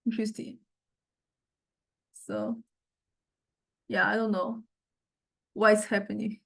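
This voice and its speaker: A woman lecturer giving an informal software demonstration, hesitantly thinking aloud as she goes. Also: mid-range voice, fairly even intonation, takes it slowly, creaky. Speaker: music technology lecturer.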